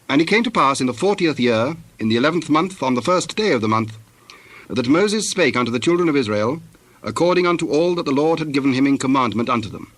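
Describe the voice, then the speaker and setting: A man narrating scripture from a script in a formal, measured manner. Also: mid-range voice, fairly bright tone.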